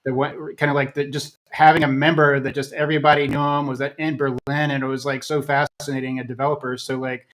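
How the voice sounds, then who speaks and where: An American man hosts a casual interview chat and wanders through his questions, restarting sentences and stacking fillers. His voice is mid-pitched and stays very level, with little rise or fall. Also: level tone, quick pace, hardly any pauses, fairly bright tone, poor audio. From United States. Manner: rambling, restarts, many fillers. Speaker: host.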